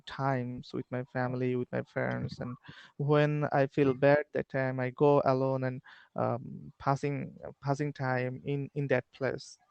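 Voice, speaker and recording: Bangladeshi man, English learner on a video call, gravelly yet smooth, dry sound, hesitant and full of fillers. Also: mid-range voice, a little mellow, dry recording, highs cut off. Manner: halting, many fillers and restarts. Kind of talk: online English lesson conversation.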